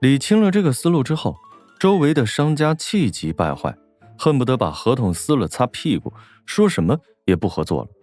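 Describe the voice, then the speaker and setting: A man narrates a novel in a mellow, warm timbre. His mid-pitched delivery moves expressively through the scripted prose, over faint music. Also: sing-song, normal speed. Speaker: audiobook narrator.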